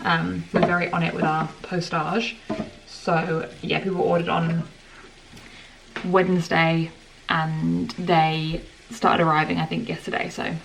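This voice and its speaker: A young woman in her twenties rambles chattily to camera, her low voice full of vocal fry. She sounds a little distant from the mic in a home kitchen, with a pan loudly sizzling behind her. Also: background noise. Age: 20s. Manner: rambling and chatty, lots of "like" fillers. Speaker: vlogger. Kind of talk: casual chat to camera. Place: home kitchen while cooking dinner. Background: frying food sizzling.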